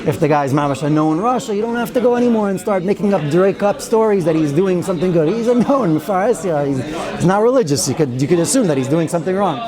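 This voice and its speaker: A man with a fairly high voice teaches an informal Torah class, circling back and restarting his thoughts and dropping in wry asides. The murmur of listeners gathered in the hall is clearly audible behind him. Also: lively intonation, fast, crowd noise. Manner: chatty and digressive, with frequent restarts and Hebrew and Yiddish terms. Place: synagogue study hall with a group of listeners.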